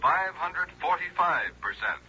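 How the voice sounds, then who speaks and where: A man's voice: fairly high pitch, lively intonation, measured pace, pushed and bright, slightly rough. A man announcing in a 1940s radio advertisement, clipped like someone reading out a report. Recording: muffled old radio, faint noise.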